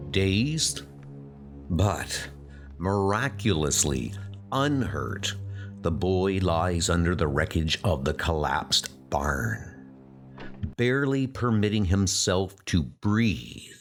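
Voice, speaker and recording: A man's voice: low-pitched, big pitch swings, takes it slowly. A man narrating a horror comic story in a dramatic, scripted storytelling style. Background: music.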